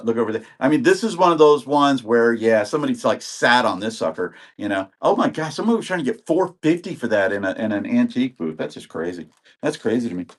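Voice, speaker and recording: A man in his sixties, getting over an illness, chatting casually and rambling as he sells videotapes on a live auction stream and greets viewers by name. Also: medium pitch. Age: 60s. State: recovering from illness.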